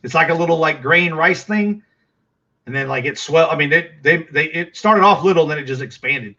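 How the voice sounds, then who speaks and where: Middle-aged Texan man, livestream host, rambling and chatty, slightly high bright voice. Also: a little fast, lo-fi sound. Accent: Texas. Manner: rambling, chatty, full of fillers and restarts. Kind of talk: casual livestream chat.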